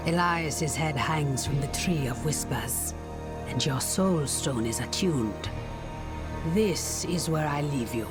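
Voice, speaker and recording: Low hushed witch, slow, measured, loud music behind. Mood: solemn. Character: solemn mysterious witch woman, calm. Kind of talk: game cutscene acting. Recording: loud game score behind.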